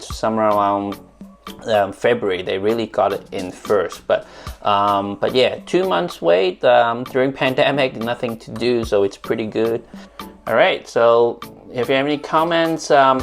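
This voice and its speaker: Hong Kong-accented man, casual unboxing vlogger, filler-heavy chat, faint music under. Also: mid-range voice. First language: Cantonese. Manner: chatty, many fillers and restarts.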